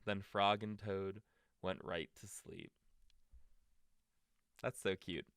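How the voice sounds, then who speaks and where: Male storytime reader, bedtime narration for little ones, very slow delivery, close dry sound. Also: deep voice, steady tone, fairly bright tone, smooth, no room sound.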